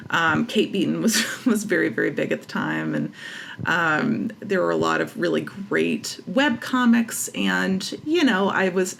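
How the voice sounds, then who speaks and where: A woman's voice: on the low side, vocal fry, a bit bright, a little hiss or hum. A woman chatting casually as an interview guest, with a wry, self-deprecating way of talking. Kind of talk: casual chat.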